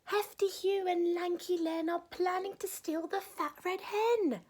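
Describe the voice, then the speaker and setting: A woman doing a tiny high ladybird. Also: steady tone, unhurried, a little mellow. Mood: alarmed. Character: small clever female ladybird.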